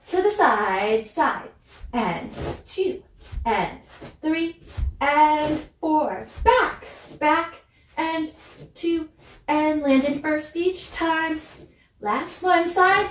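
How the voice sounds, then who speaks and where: A woman giving ballet class instruction as a dance teacher, demonstrating and counting in an encouraging, instructive way. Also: high-pitched. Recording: roomy echo, distant mic, music behind.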